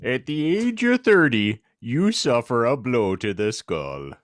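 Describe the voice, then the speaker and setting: A man co-hosting a casual podcast, bantering and reading aloud with deliberate emphasis and joking asides. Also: medium pitch, very animated, dry recording, studio quality. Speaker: podcast co-host.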